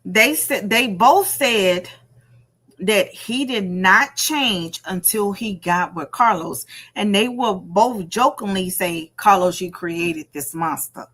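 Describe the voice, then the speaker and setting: A woman's voice: medium pitch, slow pace. A woman talks as a livestream host in casual gossip commentary. She takes her time, with a mid-range voice, stressing points through repetition. She acts out other people's words as she tells the story. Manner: emphatic, repeats phrases for effect; animated storytelling, acts out quotes.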